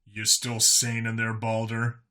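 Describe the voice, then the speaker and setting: A man's voice: fairly low pitch, completely flat delivery, unhurried, sharp tone. Man playing a dry, sardonic cop. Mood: wry. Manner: dry, curt. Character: gruff, sardonic human male prison cop.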